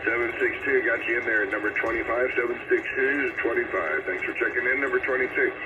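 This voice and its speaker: A man's voice: mid-range voice, steady tone, quick pace. A man hosting a CB radio roll call, checking in stations in a courteous, procedural manner. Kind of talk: CB radio net check-in calling. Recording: noisy, tinny CB radio transmission. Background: loud radio static.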